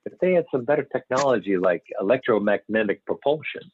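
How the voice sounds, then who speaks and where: A man playing an earnest, assured UFO scientist in an amateur script reading, explaining emphatically with some halting stumbles. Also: medium pitch, expressive, husky. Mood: explanatory, emphatic. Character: male expert scientist investigating UFO reports, earnest and assured. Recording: tinny phone-like conference call, echoey room.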